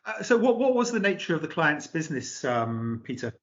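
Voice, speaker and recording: A man's voice: on the high side, very animated, brisk, muffled recording. A man hosting a business interview, asking questions in a polite, formal way. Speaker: interview host, company director.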